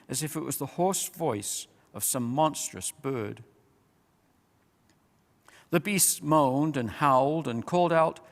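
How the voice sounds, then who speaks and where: A man reading a story aloud as an expressive audiobook-style narrator. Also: on the high side. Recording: long hall-like reverb.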